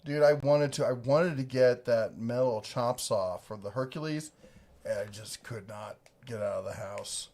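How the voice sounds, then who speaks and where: A man's voice: medium pitch, moderate pace, a little mellow. A man casually chatting on a livestream, reading viewer comments in a laid-back, rambling, jokey way. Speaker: livestream co-host.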